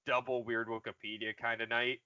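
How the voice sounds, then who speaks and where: A man co-hosting a casual comedic podcast, joking, swearing and halting as he goes. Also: mid-range voice, flat delivery, sharp tone, no room sound, dull audio. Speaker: podcast host.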